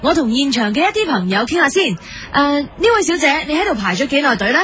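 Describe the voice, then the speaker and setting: A woman acts out an earnest female news reporter in a parody radio bulletin. Her voice is high and polite, with a Hong Kong Cantonese accent. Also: sing-song, fast, fairly bright tone.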